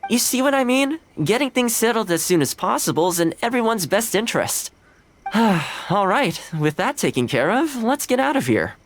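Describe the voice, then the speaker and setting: A man's voice: high voice, big pitch swings, moderate pace, slight background noise. An actor playing an easygoing, shrewd young male housekeeper in a video game, chatty and self-assured. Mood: firm, satisfied.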